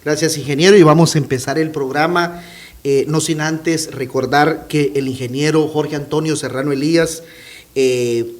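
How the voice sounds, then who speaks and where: A man's voice: fairly high pitch, fairly even intonation, normal speed. A man hosting a TV interview, asking formal, polite questions with frequent hesitations. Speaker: TV interviewer, host.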